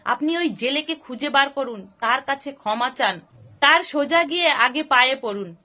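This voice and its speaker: Temple priest, voiced by a female narrator: wise, concerned, counselling. High and bright. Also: animated, normal speed. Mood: advising.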